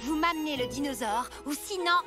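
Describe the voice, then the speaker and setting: A woman voicing an assertive, headstrong child in a French animated series, with a bossy, exclamatory delivery. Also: high-pitched, brisk. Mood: bossy, firm. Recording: loud music behind.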